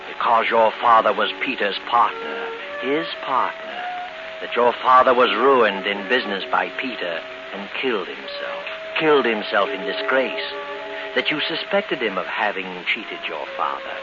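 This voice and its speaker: An actor playing a sinister, mocking, all-knowing narrator in a 1940s radio mystery, deliberate and taunting. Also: male voice, fairly low pitch. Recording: muffled, hissy 1940s radio recording. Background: music.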